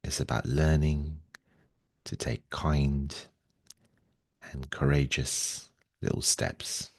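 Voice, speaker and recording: A man leading a guided meditation in a gentle, soothing way, speaking slowly and softly with long pauses. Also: extremely low pitch, level tone, dry recording.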